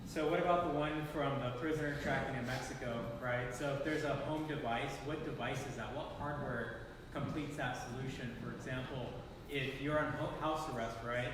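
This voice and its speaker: A man's voice: mid-range voice, fairly even intonation, normal speed, far from the mic. A man in a conference audience asking about hardware, talking loosely and thinking aloud. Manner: chatty, self-aware questioner. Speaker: audience member asking questions. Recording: echoey hall with room noise.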